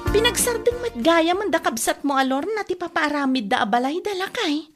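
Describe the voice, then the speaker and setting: A woman's voice: very high-pitched, expressive, brisk, loud music behind the voice. A woman with an Ilocano accent playing a fretful, nagging wife in a comedy radio drama. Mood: dismayed.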